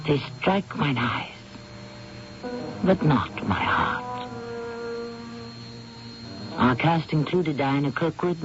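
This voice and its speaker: A man hosting a radio mystery drama, closing the episode with a measured recitation. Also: fairly high pitch. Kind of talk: scripted closing narration. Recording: dull old radio recording with faint hiss.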